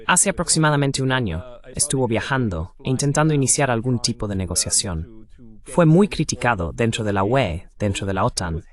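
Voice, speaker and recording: A man voicing an interview host in a Spanish voice-over, conversational and opinionated as he comments and asks questions. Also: mid-range voice.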